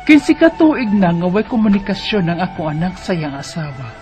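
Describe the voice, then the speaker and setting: A man reading a listener's letter aloud in a radio drama, in an earnest, measured way. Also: high voice, very animated, loud music behind the voice.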